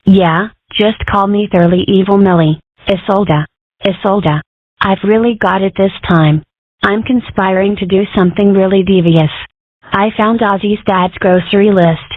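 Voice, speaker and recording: A woman plays a mischievous little girl who fancies herself a villain. She is excitable and theatrical at a medium pitch, in a cartoon dub with dry, thin, phone-like audio. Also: moderate pace, no echo, lightly acted. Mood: gleeful scheming. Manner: gleeful, theatrically boastful. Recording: dry, narrow-band phone-like sound.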